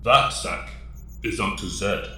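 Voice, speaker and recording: A man playing a calm, authoritative team mentor in an audio drama, speaking formally and in a measured way. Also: fairly low pitch. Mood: instructive. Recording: echoey room.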